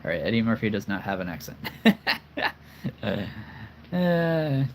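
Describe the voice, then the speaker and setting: A man's voice: lowish voice, very animated, unhurried, a little hiss or hum. A man hosting a casual livestream, talking earnestly and rambling with many fillers and restarts. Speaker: collectible figure channel host.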